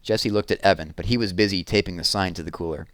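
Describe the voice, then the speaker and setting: A man reading a children's novel aloud with expressive storytelling. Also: low voice, quick pace, no echo. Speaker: reader.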